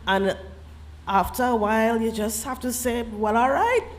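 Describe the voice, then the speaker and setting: A woman's voice: on the high side, normal speed, slight background noise. A woman novelist with a Trinidadian accent, talking reflectively and earnestly on a festival panel, laughing often and slipping into creole phrasing. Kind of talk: literary festival panel talk.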